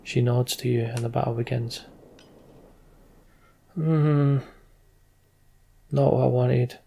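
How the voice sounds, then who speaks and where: A man's voice: medium pitch, steady tone, takes it slowly, creaky, dark tone, husky. A man giving casual, muttered gameplay commentary and reading story text aloud, as a let's play host.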